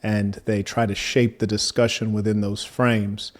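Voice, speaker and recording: A man hosting a debate, speaking in a measured, formal manner and asking probing questions. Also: lowish voice, flat delivery. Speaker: debate host.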